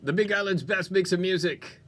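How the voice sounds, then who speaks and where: Bright, upbeat male radio DJ. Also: high voice, fairly quick. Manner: playful, animated, conversational. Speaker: radio DJ, show host. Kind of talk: upbeat music radio DJ patter. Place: radio studio.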